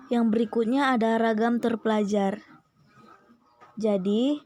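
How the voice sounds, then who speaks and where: Young woman presenting, even-toned and dark-voiced. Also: mid-range voice. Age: young adult. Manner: methodical, enumerating points. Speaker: university student presenter. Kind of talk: scripted educational presentation.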